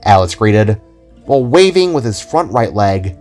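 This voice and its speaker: Low-voiced man narrating over soft music. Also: very animated, moderate pace. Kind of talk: reading a fan audio drama. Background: faint music.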